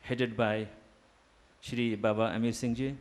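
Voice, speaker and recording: A man whose first language is Urdu, giving a formal seminar lecture in a deliberate academic manner, pausing with fillers. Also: medium pitch, level tone. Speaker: guest lecturer. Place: seminar hall.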